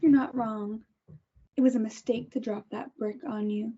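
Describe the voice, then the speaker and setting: Woman as defensive villain mother, husky and raised pitch, lo-fi table read. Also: fairly even intonation, normal speed, warm tone. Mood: accusing. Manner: cold and defensive. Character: controlling, lying mother woman, middle-aged. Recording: dull lo-fi recording.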